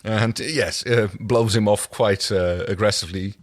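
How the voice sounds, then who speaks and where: Male podcast co-host, fairly low voice, conversational, halting explanatory delivery. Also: steady tone. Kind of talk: casual chat recapping a TV episode.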